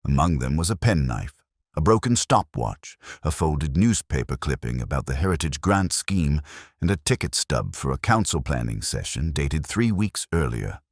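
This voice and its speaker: A man narrating a mystery story in a measured storytelling manner, with a husky voice. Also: extremely low pitch, sing-song, no room sound, clean studio sound.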